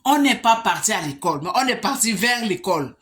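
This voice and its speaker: A woman's voice: mid-range voice, lively intonation, brisk, a bit bright. A woman from Africa, talking straight to the camera as a vlogger and putting emphatic rhetorical questions to the viewer. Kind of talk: monologue to the camera.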